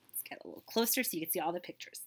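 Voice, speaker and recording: A woman reading a children's story aloud as a librarian, with expressive, dramatic emphasis and questions to her young listeners. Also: lowish voice, very fast, whispered.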